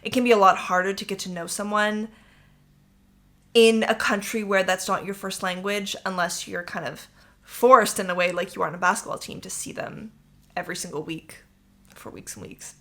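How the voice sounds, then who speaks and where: Bright-voiced chatty woman podcaster. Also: medium pitch, steady tone, moderate pace. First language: English. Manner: chatty, rambling, upbeat. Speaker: podcast host. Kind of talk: casual chat podcast. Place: warm apartment room.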